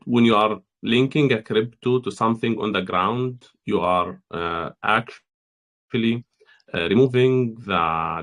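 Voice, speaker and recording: A man's voice: on the low side, fairly bright tone. A man from Palestine, explaining a project in an online AMA. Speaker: project team member.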